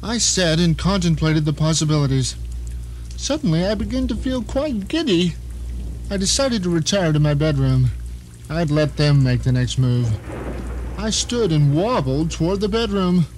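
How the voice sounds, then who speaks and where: Male actor as blind middle-aged prisoner in his own home, arrogant and suspicious; high voice, theatrical first-person suspense drama, noisy backdrop. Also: sing-song, normal speed, darkish tone. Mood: alarmed, dazed.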